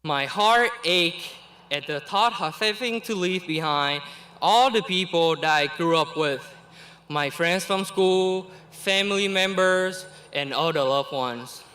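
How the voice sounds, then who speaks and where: A high, bright-toned young man reads out a graduation speech. He takes his time, giving each phrase a slow and careful weight. His delivery is earnest, with a quick laugh now and then. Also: poor audio. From Vietnam. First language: Vietnamese. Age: late teens. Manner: earnest and deliberate, with small laughs between phrases. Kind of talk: reading a prepared commencement speech.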